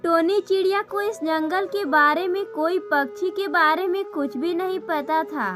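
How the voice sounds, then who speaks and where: A woman's voice: very high-pitched, unhurried, over music. A woman narrator reading a scripted children's story in a storybook style.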